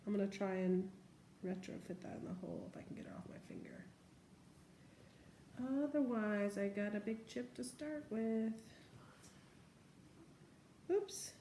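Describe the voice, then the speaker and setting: A woman talks through a hands-on demonstration in a casual, chatty way. Her voice sits at an average pitch. The recording sounds like a home room, with noticeable echo and some light noise. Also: sing-song, unhurried, long pauses, dark tone. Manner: chatty, informal, narrates each step. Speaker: nail tutorial vlogger. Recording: echoey room with faint background noise.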